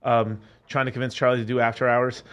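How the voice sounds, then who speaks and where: A man's voice: mid-range voice, flat delivery, fast talker, fairly bright tone, lo-fi sound. A young man hosting a casual crypto podcast chat, laid-back and loose, dropping "man" and "dude" often. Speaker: crypto show host.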